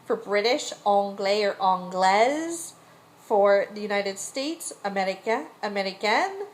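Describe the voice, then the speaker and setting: A woman's voice: mid-range voice, animated, takes it slowly. Patient woman teacher explaining. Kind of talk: casual classroom explanation.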